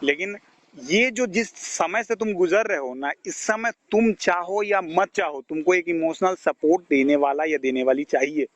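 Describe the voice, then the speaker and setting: A man's voice: on the high side, measured pace, no room sound. A man with an Indian accent giving informal phone counselling, explaining things and checking that he is understood, on a call recording. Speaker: health counsellor advising a caller.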